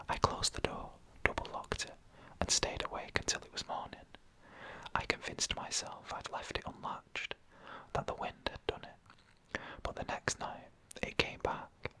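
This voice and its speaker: A narrator telling a scary story in a breathy ASMR whisper, in slow, hushed, deliberate phrases. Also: rough recording.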